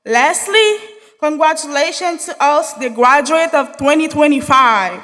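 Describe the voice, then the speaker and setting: A young woman delivers an earnest graduation speech. Her voice sits high and sounds bright and forceful. A long reverb from the big hall trails her words. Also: animated, a little slow, some chatter behind, a little hiss or hum. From Haiti. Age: young adult. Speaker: graduating student speaker. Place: large hall.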